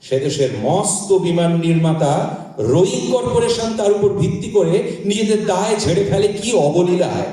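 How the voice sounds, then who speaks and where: A man's voice: high voice, animated, brisk, mellow. A man acting in a Bengali audio drama as a bold, probing air force wing commander, polite but sardonic, insistent and articulate. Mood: challenging. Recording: very echoey room, far from microphone.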